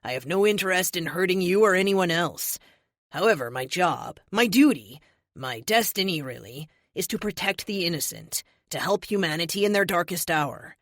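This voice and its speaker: Carlos: woman reading as a calm, steady warrior hero. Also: lowish voice, normal speed, no room sound, studio quality. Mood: earnest resolve. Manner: calm, firm, lightly amused. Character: grinning, unyielding ancient male warrior hero.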